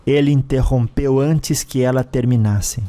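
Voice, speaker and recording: Slow, mellow Brazilian male storyteller. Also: mid-range voice, steady tone. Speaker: narrator. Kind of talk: scripted dramatic story narration.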